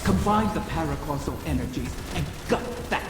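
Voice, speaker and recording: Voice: mid-range voice, animated, over music. An actor voicing an ancient wish-dragon spirit in a video game, proud, bitter and cryptic, with an otherworldly reverberation. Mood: cryptic, imperious. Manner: cryptic, imperious, deliberate. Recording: long reverb, clear background ambience noise.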